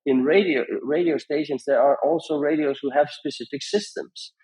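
Man giving halting interview advice. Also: fairly high pitch, darkish tone, low-quality recording. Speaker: podcast guest, music industry founder.

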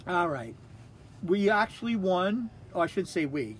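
Podcast co-host, New man, high pitch, profane and blunt, does voices when he retells stories. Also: very animated, measured pace, a little hiss or hum, low-quality recording. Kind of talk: casual chat, reading scorecard notes aloud.

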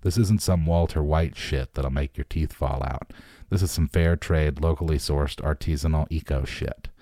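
A young male drug dealer from a noir story, acted out by a man reading aloud, wary and quick to push the sale. The voice sits extremely low and dark, with slangy, defensive phrasing in a dry, close sound. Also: fairly even intonation, dry recording. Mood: hasty sales pitch. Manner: slangy, hasty salesman talk. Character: wary nineteen-year-old male street drug dealer, pushy and defensive.

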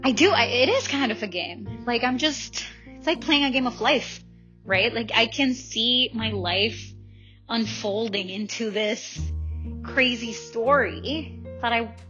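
A young woman with a fairly high, very bright voice thinks out loud in a casual monologue. She is informal and questions herself as she goes, often checking for agreement. The recording is a little dull, with music underneath. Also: measured pace. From El Salvador. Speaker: vlogger. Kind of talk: casual, reflective monologue to listeners.

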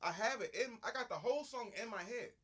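A young man in casual, profane chat, animated and dropping into bits of song. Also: extremely high pitch, a little fast, breathy edge.

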